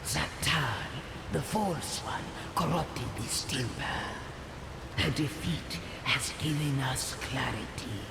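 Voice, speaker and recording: A man voicing a solemn ancient ancestral spirit in a video game, grave and measured in delivery. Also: medium pitch, vocal fry, noisy background. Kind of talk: dramatic video game voice acting. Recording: echoing voice in loud ghostly ambience.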